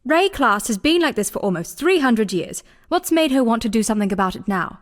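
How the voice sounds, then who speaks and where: A sharp-tongued exiled woman from a fantasy video game. Her voice sits a little high. She is wary and dry, with a pointed, teasing edge. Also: sing-song, a little fast. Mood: doubtful. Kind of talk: scripted video game dialogue.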